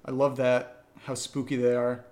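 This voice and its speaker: A man's voice: mid-range voice, completely flat delivery, moderate pace, a little mellow. A man co-hosting a casual Q&A, reading out listener questions and answering in short remarks. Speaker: audio drama writer, co-host reading listener questions. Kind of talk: casual Q&A chat.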